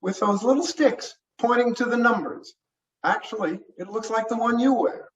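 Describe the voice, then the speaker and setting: A man's voice: very high-pitched, normal speed, pitched above the performer's own voice. A man voicing an eager young male deputy who teases his boss, in a mystery story reading. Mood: teasing, keen. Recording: roomy, muffled, distant mic.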